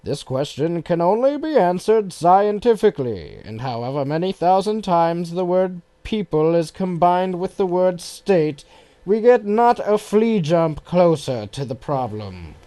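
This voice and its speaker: A man reading formal written passages for an audiobook in an expressive way. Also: high-pitched, measured pace, dry recording. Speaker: audiobook reader of quoted passages. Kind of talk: scripted narration, reading quotations.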